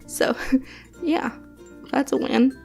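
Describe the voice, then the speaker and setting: Young female vlogger, sniffly, rambling chatty voice-over, fry-heavy, soft music bed. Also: high-pitched, drawn-out words. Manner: rambling, chatty, lots of fillers and soft laughs. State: sniffly from allergies. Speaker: gaming channel host. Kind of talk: casual voice-over commentary on a building game.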